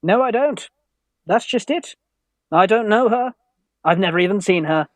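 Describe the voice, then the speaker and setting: An actor playing a timid, earnest young English gentleman student in a theatrical Victorian farce, polite, anxious and hesitant. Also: high voice, expressive, normal speed, dry recording. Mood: plaintive. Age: young adult. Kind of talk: theatrical radio farce acting.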